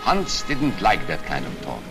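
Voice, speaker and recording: An actor playing an earnest refugee professor, speaking in a measured, grave, instructive way in 1940s film style. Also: male voice, sits low, wildly swooping pitch, heavily acted. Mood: grave, wry. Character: earnest Hungarian refugee professor, sober and cautioning. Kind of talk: 1940s film acting, earnest storytelling narration. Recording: old film soundtrack with music.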